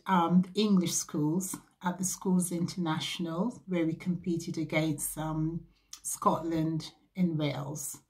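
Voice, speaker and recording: Slow, measured woman from Barbados. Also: lowish voice, darkish tone. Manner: measured, unhurried, with frequent ums. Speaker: former athlete sharing memories. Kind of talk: reflective personal recollection.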